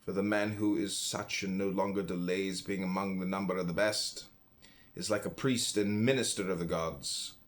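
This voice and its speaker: A man reading a philosophy book aloud in a solemn, deliberate way, phrase by phrase with pauses. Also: lowish voice, fairly bright tone. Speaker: audiobook reader.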